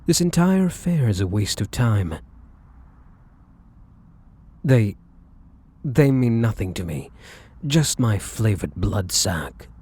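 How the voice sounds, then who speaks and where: A man playing a defiant, protective vampire in an ASMR roleplay, with husky, breathy delivery and theatrical, formal phrasing. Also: lowish voice, very animated, slow pace, pauses often, a little mellow, exaggerated voice. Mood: cold, guarded. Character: defiant, protective young male vampire, bitter and menacing. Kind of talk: ASMR audio roleplay acting.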